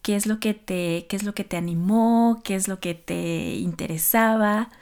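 A woman hosting a podcast, asking a guest questions in a gently inquisitive way. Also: mid-range voice, normal speed. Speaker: podcast host. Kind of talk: interview hosting.

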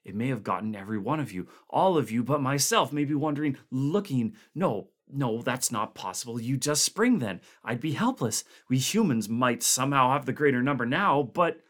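A man voicing a frightened expedition member who suspects everyone around him, speaking in anxious, halting bursts. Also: medium pitch, animated, a bit bright. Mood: uneasy. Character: nervous man fearing he's the last human.

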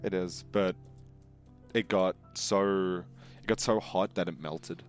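A young man with a very low voice talks casually with a friend. He delivers absurd jokes flatly and deadpan, never cracking. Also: slow, faint music behind. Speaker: podcast co-host. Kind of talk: casual banter over a game.

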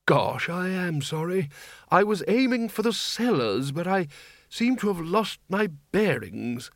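A meek, apologetic undead wizard with a high voice that wanders up and down, polite and hesitant, as a male narrator puts him on. The sound is dry and close. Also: very animated, unhurried, a bit bright, no echo, heavily acted. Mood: fretful.

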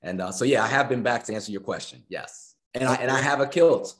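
A man's voice: mid-range voice, a little fast. An American man of about fifty chatting casually as an interview guest, talkative and repeating phrases for emphasis. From United States. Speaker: guest, personal trainer and musician.

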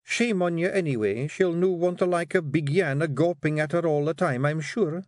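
A man voicing a quietly dangerous young fairy queen and bossy wife with a Scottish accent, deceptively sweet and controlled, in an audiobook. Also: fairly high pitch, moderate pace, dry recording, clean studio sound, pitched above the performer's own voice, close to an everyday voice. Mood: stern, scolding. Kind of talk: audiobook character voice.

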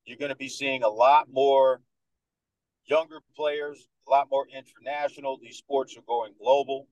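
A man in his thirties with a Dominican American accent, speaking as a podcast guest in a confident, animated way. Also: medium pitch, moderate pace, dry recording, dull audio. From United States, New York. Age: 30s. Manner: confident, animated storyteller who acts out other people's lines. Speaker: guest, sports radio host. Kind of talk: casual podcast interview.

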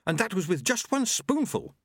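Man doing an excitable old monk inventor, high-pitched. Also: fairly quick, no room sound, studio quality. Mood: excited. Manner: eager, bustling, demonstrative.

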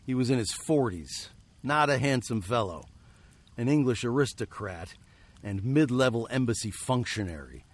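An actor playing a wary, lovelorn fugitive of the old American West in a radio drama, speaking in an earnest, questioning manner. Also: male voice, medium pitch, lively intonation, normal speed, natural voice. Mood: somber, doubtful. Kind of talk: radio drama acting with narration.

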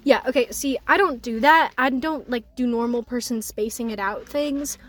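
A young woman co-hosting a casual car vlog, loud and rambling, swearing often, recorded on a phone set down in the car. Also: fairly high pitch, moderate pace. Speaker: vlog co-host.

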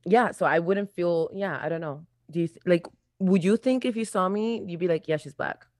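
A woman's voice: on the low side, moderate pace, darkish tone, dry recording, clean studio sound. A young woman with a light Latin American accent, born abroad, chatting casually as a podcast co-host and rambling with lots of fillers and restarts. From born outside the US. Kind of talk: casual chat.

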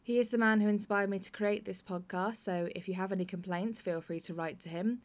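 A woman's voice: mid-range voice, steady tone, fairly quick. Wry British woman hosting a podcast. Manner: wry, self-deprecating. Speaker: podcast host. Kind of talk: scripted introduction and friendly interview.

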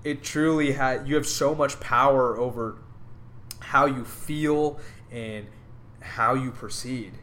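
A man's voice: medium pitch, slow pace, fairly bright tone, slight background noise. A young man co-hosting a casual podcast, talking in an earnest, rambling, upbeat way. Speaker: podcast co-host. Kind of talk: casual chat.

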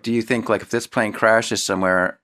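A man's voice: lowish voice, fairly even intonation, moderate pace, fairly bright tone. A man hosting a casual interview, asking leading questions and teasing his guest. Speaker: podcast host.

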